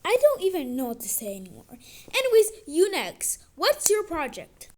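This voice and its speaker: A woman's voice: extremely high pitch, moderate pace, full character voice. A woman playing an exasperated teacher losing patience with an online class, in an amateur skit with dramatic, sighing delivery. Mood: fed up. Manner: exasperated, sighing, dramatic swings from calm to outburst. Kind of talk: amateur animated-skit voice acting, one side of dialogue. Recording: clicky home recording with background noise.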